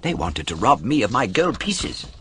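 A man voicing a naive, affectionate little boy puppet from a storybook in an earnest, excitable manner. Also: medium pitch, normal speed, slight background noise.

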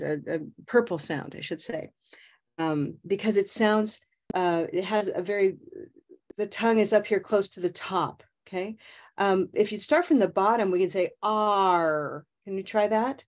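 A middle-aged woman teaching English pronunciation on a video call, modeling words slowly and prompting learners. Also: medium pitch. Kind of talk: slow, clear pronunciation coaching. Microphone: webcam on a video call.

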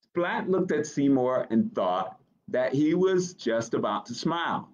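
A man in his thirties reading a picture book aloud to children as storytime host, expressive and encouraging, with chatty asides to young listeners. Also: mid-range voice, a little slow. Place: small office, indoors. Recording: dull webcam audio.

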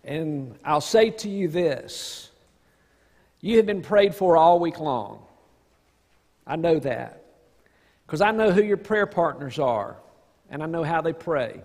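A man in his fifties preaching a church sermon as a pastor, earnest and emphatic, with deliberate, drawn-out phrases in a reverberant church hall. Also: fairly high pitch, expressive, darkish tone. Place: large church sanctuary stage, indoors.

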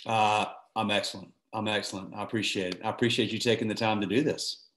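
A man's voice: fairly low pitch, steady tone, quick pace, a bit bright, reverberant. A man hosting a podcast interview, friendly and rambling as he sets up his questions. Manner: friendly, rambling with fillers and restarts. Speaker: podcast host. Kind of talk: interview conversation.

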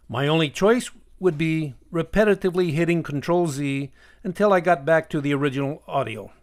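A man narrating an instructional software screencast as its host, explaining step by step in a measured way. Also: a little high.

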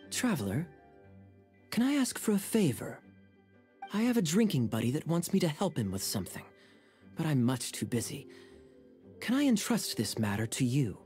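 Suave knight captain, wry, smooth, lively intonation, game voice. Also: male voice, a little high. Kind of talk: video game voice acting.

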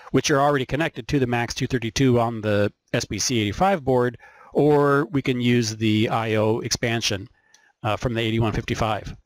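A man talks through a hobby electronics show-and-tell in an informal, unscripted way. His mid-pitched voice comes in halting stretches as he thinks aloud, and the sound is dry, with very little room in it. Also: no room sound. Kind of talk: informal show-and-tell explanation of circuit boards.

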